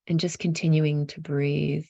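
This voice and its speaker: A woman leading a guided meditation, giving gentle instructions in an unhurried, softly inviting way with pauses mid-phrase. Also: sits low, little pitch movement, vocal fry, no room sound, muffled recording.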